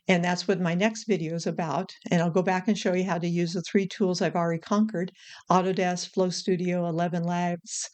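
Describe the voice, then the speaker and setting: A woman hosting a casual how-to vlog, talking in an informal, frank, chatty way. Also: medium pitch. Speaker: video creator and host.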